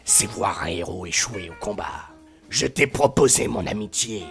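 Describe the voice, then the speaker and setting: A man voicing a mocking, menacing comic-book film supervillain with a low gravelly voice. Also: expressive, moderate pace, fairly bright tone, soft background music.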